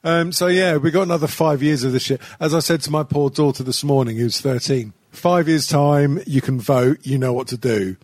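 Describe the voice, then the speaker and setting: A man's voice: fairly high pitch, normal speed, few pauses, darkish tone, dry recording. A British man hosting a podcast, losing his voice, rambling and swearing with frequent laughter. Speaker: podcast host. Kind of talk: casual profane chat.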